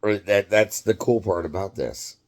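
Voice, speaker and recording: A man in his fifties telling a casual story in a rambling, halting way, often laughing as he talks. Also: on the low side, a little mellow. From United States. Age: 50s.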